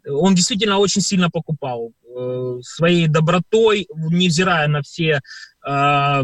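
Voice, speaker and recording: A man's voice: fairly high pitch, fairly bright tone, no room sound. A man from Ukraine, a performer, answering radio interview questions at length with many hesitant filler sounds. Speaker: performer and guest.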